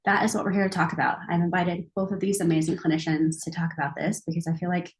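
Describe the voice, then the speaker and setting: A woman's voice: lowish voice, little pitch movement, fast talker, rough recording. Upbeat woman hosting a counseling panel. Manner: upbeat, conversational. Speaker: counseling supervisor and host. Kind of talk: panel discussion.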